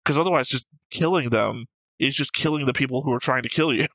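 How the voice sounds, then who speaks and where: A man with a very bright, forceful voice at a middle pitch, recorded dry and close. He hosts a reaction show and argues through story theories casually, thinking out loud as he goes. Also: quick pace, no echo, rough recording. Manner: argumentative, reasoning aloud, swears casually. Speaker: host of an anime reaction show. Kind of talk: casual discussion of plot theories.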